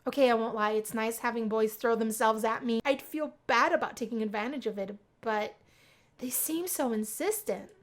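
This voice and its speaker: A woman reading scripted first-person visual novel narration aloud in an expressive way. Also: on the high side, normal speed. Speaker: let's play reader.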